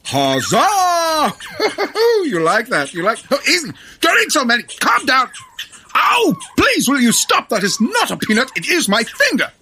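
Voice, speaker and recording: Pompous police commissioner, wildly swooping pitch, bright forceful voice, hearty exclamations, comic audio drama. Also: huge pitch swings, a little slow, heavily acted. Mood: jolly, exuberant.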